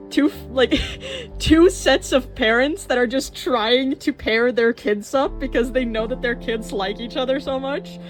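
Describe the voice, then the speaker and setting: A woman of twenty-one chatting casually in a rambling way while showing her sketchbook, with fillers and quick laughs. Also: a little high. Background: soft background music.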